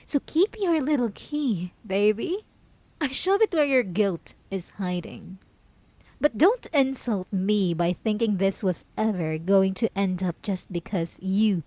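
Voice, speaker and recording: A woman's voice: medium pitch, no echo. A young woman performing an obsessive, possessive female killer locked in a cell, taunting in whispers and bursting into outbursts. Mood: menacing. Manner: taunting, slipping from soft whispers to sudden outbursts. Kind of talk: roleplay audio drama to the listener.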